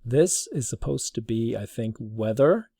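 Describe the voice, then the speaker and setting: A man giving an informal, halting explanation as a teacher demonstrating something to a class. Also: mid-range voice, expressive, creaky, warm tone, dry recording, studio quality.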